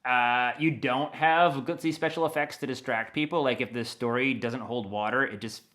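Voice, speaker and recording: An American man giving a casual interview, rambling in an animated way as he thinks aloud. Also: mid-range voice, moderate pace. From United States. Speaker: interview guest, audio dramatist.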